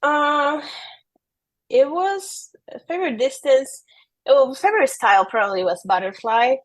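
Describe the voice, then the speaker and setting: A woman in her thirties from Peru, speaking English as an as a podcast guest, soft-spoken and hesitant with frequent ums and light laughs. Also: high-pitched, lively intonation, a little slow, no echo. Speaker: guest athlete. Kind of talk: casual interview.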